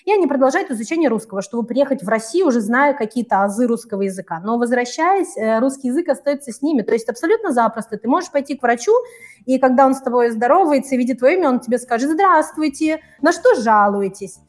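Fast-talking, high-voiced woman. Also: lively intonation. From Murmansk region, Russian far north. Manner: talkative, fluent, illustrates with little quoted examples. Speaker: interview guest, Russian language teacher living in Tanzania. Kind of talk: conversational interview answers, explaining and storytelling.

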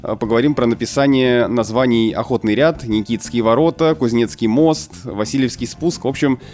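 A man's voice: medium pitch, fairly quick, darkish tone, soft background music. A man hosting a podcast, presenting a sponsored segment in an upbeat presenting manner. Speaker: podcast host. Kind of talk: reading a sponsored segment intro.

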